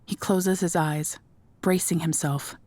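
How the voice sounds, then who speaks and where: A woman's voice: on the low side, steady tone, takes it slowly, a little mellow, no room sound. A woman reading scripted third-person narration for an audio drama.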